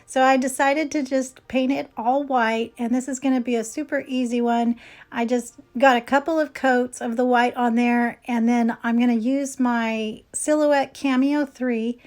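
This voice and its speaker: A woman hosting a craft tutorial, explaining each step in a chatty voice-over, her voice slightly hoarse. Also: a little high, steady tone, a little slow, a little mellow. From California. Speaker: DIY craft channel host.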